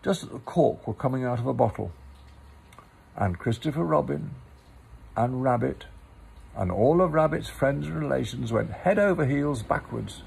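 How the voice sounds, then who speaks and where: A man reading a children's story aloud in a measured way with pauses and informal asides. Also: medium pitch, lively intonation, creaky, darkish tone, husky. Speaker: storybook reader.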